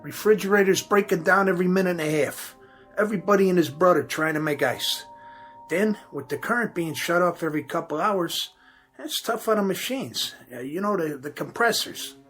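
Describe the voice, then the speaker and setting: A man's voice: fairly high pitch, lively intonation, moderate pace, vocal fry, fairly bright tone, faint music behind. A man playing a plain-spoken working-class repairman in an audio drama, folksy, hesitant, apologetic but firm. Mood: weary, explaining.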